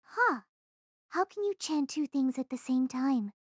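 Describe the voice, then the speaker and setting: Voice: high voice, lively intonation, a little slow, no echo, studio quality. An actor playing an innocent, curious small boy learning magic, eager and wide-eyed, in an audio drama. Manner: wide-eyed, eager, simple childlike phrasing. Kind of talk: audio drama character acting.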